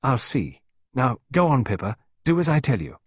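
Man playing an urgent, protective stepmother, raised pitch. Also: very animated, moderate pace, husky, no room sound, studio quality. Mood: evasive, firm. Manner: urgent, coaxing, insistent. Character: anxious, commanding, protective English stepmother, soothing yet urgent.

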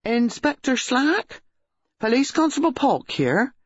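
A reader voicing a police constable who delivers a formal, measured report over the phone. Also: high voice, sing-song, breathy edge, no room sound, lo-fi sound, pitched above the performer's own voice, full character voice.

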